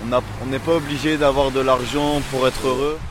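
A man's voice: mid-range voice, little pitch movement, brisk. A man answering casually in a street interview outdoors. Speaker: passer-by interviewee. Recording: noisy outdoor urban street recording.

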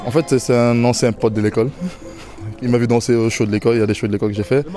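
An eighteen-year-old man, a dancer answering interview questions in a relaxed, halting way. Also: medium pitch, soft background music. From Belgium. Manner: relaxed, halting, many fillers.

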